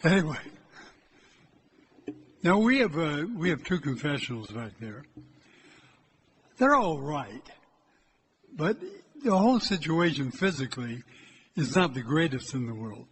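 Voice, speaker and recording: Older priest preaching in an echoey church. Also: male voice, very animated, a little slow, long pauses, a little hiss or hum. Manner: loud, hard time keeping his voice low. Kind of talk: church exhortation.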